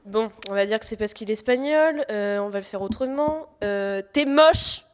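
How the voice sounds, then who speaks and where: A woman's voice: medium pitch, moderate pace, clear, resonant tone, lightly acted. A young woman playing a blunt, exasperated modern married woman in a staged comic audio fiction, speaking colloquially. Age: young adult. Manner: colloquial, hesitant then blunt. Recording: echoey hall reverb.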